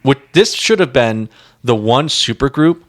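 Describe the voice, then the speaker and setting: A man's voice: mid-range voice, expressive, measured pace, fairly bright tone. A man co-hosting a music podcast, chatting casually in a blunt, rambling, slangy way. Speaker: podcast co-host.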